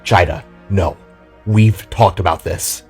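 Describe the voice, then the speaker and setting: An earnest, worried hero from a fantasy audio drama acted by a man. He speaks urgently and deliberately in a fairly low voice, over soft music. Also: fairly even intonation, slightly rough, full character voice. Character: earnest, worried little male Spark hero.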